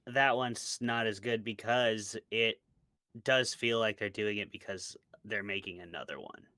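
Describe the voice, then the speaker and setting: A man's voice: lowish voice, measured pace, pushed and bright, dry recording, studio quality. A man talking casually as an interview guest, giving his opinions and explaining them with examples. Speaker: interview guest, author and podcast co-host. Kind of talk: casual conversational discussion.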